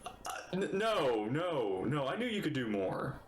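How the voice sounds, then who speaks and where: A man's voice: a little high, big pitch swings, measured pace, background noise. A man giving casual, amused live reactions to a video game as a streamer, in a chatty manner. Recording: roomy echo, game music behind. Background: game music.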